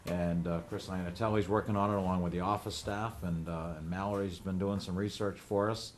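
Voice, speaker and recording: Deep-voiced selectman, easygoing, halting delivery, faint hiss behind. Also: fairly even intonation. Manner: halting, many uhs, easygoing. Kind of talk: town board meeting. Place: town hall meeting room.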